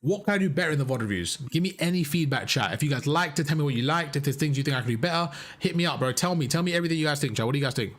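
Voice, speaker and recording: Fast-talking young man coaching gamers. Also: fairly high pitch, animated. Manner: chatty, repeats phrases, addresses chat as bro. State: strained voice. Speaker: streamer and gaming coach. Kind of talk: casual live-stream coaching.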